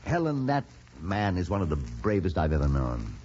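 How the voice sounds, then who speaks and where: A man's voice: low-pitched, huge pitch swings, moderate pace, a little mellow, husky, exaggerated voice. An actor playing a grave, conciliatory older statesman and father in old-time radio drama, solemn and oratorical. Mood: grave concern. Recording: dull old radio recording.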